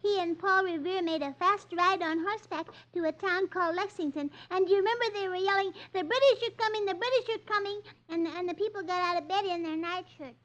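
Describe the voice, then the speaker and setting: Eager, very high-pitched little girl. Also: fairly quick, hardly any pauses, fairly bright tone. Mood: eager, excited. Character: excited little girl, sweet and eager comics fan. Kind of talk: 1950s children's radio acting. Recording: dull lo-fi old radio recording.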